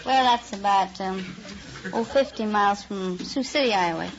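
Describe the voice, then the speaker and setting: A woman's voice: mid-range voice, normal speed. A woman, telling homely stories in an earnest, rambling way as a contestant. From American Midwest. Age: 50s. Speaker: quiz show contestant, housewife. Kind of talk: unscripted folksy storytelling in an interview. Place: radio studio stage before a live audience. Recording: muffled, noisy vintage radio recording with audience. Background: studio audience and noise.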